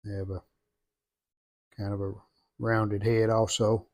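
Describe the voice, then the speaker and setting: A man's voice: lowish voice, little pitch movement, slow pace. A man narrating a hands-on restoration casually, thinking aloud in short, plainspoken phrases. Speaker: restoration video host.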